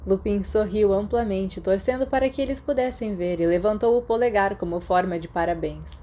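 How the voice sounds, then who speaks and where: A Brazilian woman narrates fiction from a script. Her voice is soft-edged and mellow, sitting at an ordinary pitch for a woman. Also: fairly quick. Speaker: podfic narrator.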